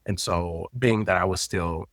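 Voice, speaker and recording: Low-voiced man telling his story. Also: a little slow, no echo, clean studio sound. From Florida, United States. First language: Haitian Creole. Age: late 30s. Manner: expressive, personal, loose phrasing with frequent fillers. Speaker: guest, intimacy coordinator and teacher. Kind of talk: conversational interview storytelling.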